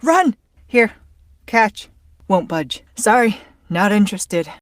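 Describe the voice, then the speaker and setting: A woman's voice: medium pitch, sing-song, slow pace, slight background noise. An actress voicing a cool, sardonic female secret agent in a video game, with clipped, dry quips. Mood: terse, urgent.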